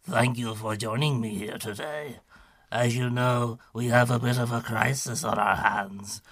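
A man as older executive, money-minded, impatient, bossy, gravelly mid-pitched voice, radio play. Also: steady tone, measured pace, fairly bright tone, dry recording, studio quality. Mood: businesslike, impatient. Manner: curt, bossy. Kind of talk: radio drama acting.

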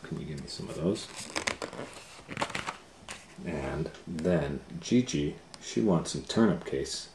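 A middle-aged man with a very low voice reads a picture book to kids, taking his time over every page. He chats along between lines and now and then sinks to a hush. Faint hiss sits under the close camera mic. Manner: relaxed, chatty, drops to a near whisper at times. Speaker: children's storytime reader. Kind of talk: casual read-aloud for kids.

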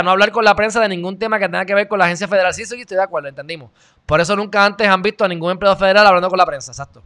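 Animated Puerto Rican male host, bright tense tone, close-miked, chatty delivery. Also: high voice, gravelly. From Puerto Rico. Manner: animated, gestures widely, shifts loud and quiet. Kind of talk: live stream chat, reading viewer comments. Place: small indoor studio. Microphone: studio mic on stand, close.

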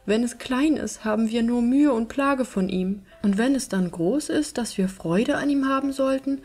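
Woman acting a plaintive, despairing fisherman's wife, high-pitched. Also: normal speed, a little mellow, soft background music, natural voice. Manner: plaintive, lamenting. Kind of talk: fairy tale audiobook reading.

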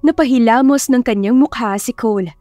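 A woman narrates a dramatic love story with a fairly high voice. The sound is close and dry, with music running underneath. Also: normal speed, warm tone, no echo. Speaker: audiobook narrator. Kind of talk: dramatic scripted romance narration.

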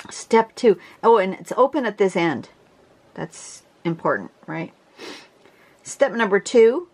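A woman with a congested voice teaching a drawing pattern in a casual, chatty way, often restarting and clearing her throat. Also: mid-range voice. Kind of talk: casual tutorial narration. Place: home desk, camera over the drawing surface.

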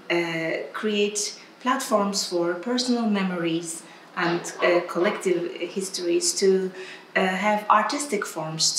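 A woman's voice: medium pitch, fairly bright tone. A middle-aged woman with a Turkish accent, moderating a panel as a curator and searching for her words. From Turkey. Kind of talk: reflective panel discussion. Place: gallery room, indoors. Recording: echoey room, faint background noise.

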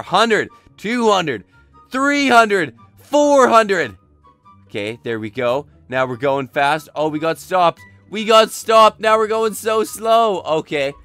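A young man gives casual, excitable commentary over a game, repeating short exclamations as he goes. His voice is clear and a touch high despite his being under the weather, with music playing behind him. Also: no echo. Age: young adult. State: sick, says he is losing his voice. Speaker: gaming video host. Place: indoor desk setup.

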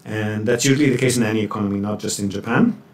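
A man presenting a webinar and answering questions in a measured, explanatory way with hesitant pauses. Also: lowish voice, darkish tone. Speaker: webinar presenter, property buyer's agent. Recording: roomy echo, distant microphone.

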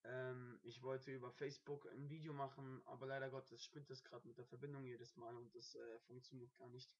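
Hoarse young man ranting on webcam. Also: medium pitch, flat delivery, brisk. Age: young adult. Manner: rambling, annoyed rant with many fillers. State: hoarse from a cold. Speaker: beat producer. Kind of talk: casual webcam vlog. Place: small dim room indoors. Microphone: webcam microphone, quiet at first. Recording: dull lo-fi webcam audio.

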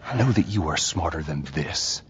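A man playing a gruff, weary, stubborn middle-aged survivor in a video game, terse and gravelly. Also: extremely low pitch, lively intonation, normal speed, full character voice. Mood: weary, insistent. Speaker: voice actor. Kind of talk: naturalistic dramatic game acting.

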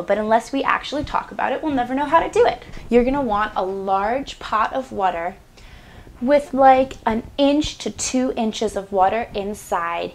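A woman's voice: fairly high pitch, a little hiss or hum. A woman in her twenties hosting a home cooking demonstration in a small kitchen, chatty and upbeat with playful asides, on a lapel mic. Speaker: cooking show host.

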